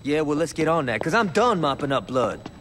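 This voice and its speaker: A man's voice: a little high, big pitch swings, a little fast, fairly bright tone, a little hiss or hum. A man voicing a brash, trigger-happy, wisecracking gangster sidekick in a video game, using sardonic, profane street slang. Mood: irritated, brash. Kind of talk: video game cutscene acting.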